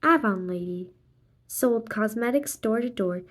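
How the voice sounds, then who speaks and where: A woman reading a children's graphic novel aloud expressively, acting out the dialogue and sound words in a deliberate way. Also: fairly high pitch, a bit bright. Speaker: read-aloud narrator.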